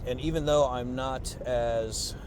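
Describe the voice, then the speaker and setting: A man's voice: medium pitch, fairly even intonation, takes it slowly. A worn-out man talking casually after a workout, rambling and swearing with lots of fillers, on a clip-on mic under his jacket. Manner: rambling, profane, with many fillers and restarts. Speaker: fitness vlogger. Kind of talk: casual post-workout vlog talk. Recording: noisy outdoor street recording. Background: traffic and street noise.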